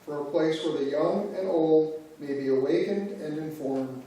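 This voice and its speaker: A man's voice: a little high, a little slow, warm tone, lightly acted. A man playing a minister who leads a formal groundbreaking prayer in a church reader's theater. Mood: prayerful. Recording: echoey church sanctuary, distant mic.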